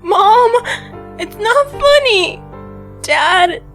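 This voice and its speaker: A woman's voice: extremely high pitch, lively intonation, a bit bright, soft background music, heavily acted. A woman playing a distressed, homesick young woman in an audio drama, plaintive and weary. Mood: tearful, pleading. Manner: slow, plaintive.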